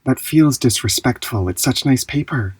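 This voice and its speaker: A woman playing an earnest, fastidious young ballet dancer in an audio drama, husky and prim. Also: rumbling low, animated, darkish tone, no room sound, exaggerated voice. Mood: earnest, keen.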